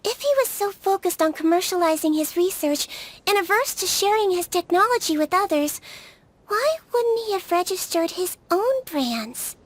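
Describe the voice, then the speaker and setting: An actress voicing a small, gentle childlike creature who works as a nurse, in an earnest, sweet and curious video game performance. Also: female voice, very high voice, expressive, moderate pace, dry recording. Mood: puzzled. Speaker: game character.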